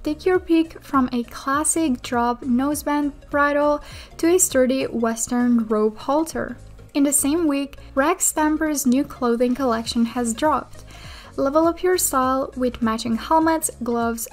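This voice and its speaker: A woman's voice: high voice, a little slow. A woman hosting a gaming video, giving casual commentary on updates and sometimes reading announcements aloud. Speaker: gaming video creator and host. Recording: voice over background music. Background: background music.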